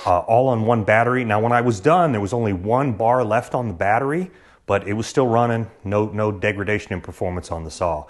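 Plain-spoken man reviewing a tool in a garage. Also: on the low side. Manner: plain, practical, matter-of-fact explaining. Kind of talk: product review to camera. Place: garage, indoors.

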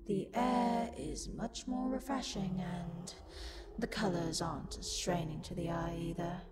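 A woman's voice: fairly low pitch, a little slow, loud music behind the voice, lightly acted. A woman voicing a livid, haughty tsundere demon in a scripted roleplay audio, condescending and scornful, with theatrical sighs. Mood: disdainful.

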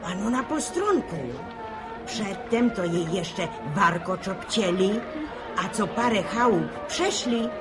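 An actress playing a spiteful, moralizing village gossip in a radio serial, with scandalized rustic delivery. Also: female voice, mid-range voice, animated, slow pace. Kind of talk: Polish radio serial acting. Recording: old radio drama, music and crowd behind.

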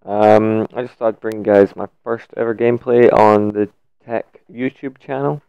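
A young man with a croaky, sore-throated voice, giving laid-back, rambling commentary over gameplay. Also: fairly low pitch, steady tone, moderate pace, dry recording. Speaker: gaming YouTuber. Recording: dull lo-fi mic.

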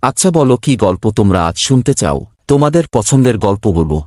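Low-voiced, patient cartoon schoolteacher, played by a man. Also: very animated, normal speed, a little mellow. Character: kindly male schoolteacher telling pupils an animal fable. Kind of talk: children's cartoon storytelling.

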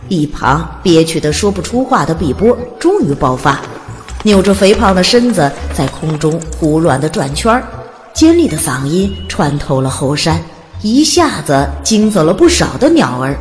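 A woman narrates a story in a fairly low voice with a lively, varied delivery. Her words carry a long reverb, and music plays underneath. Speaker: audiobook narrator.

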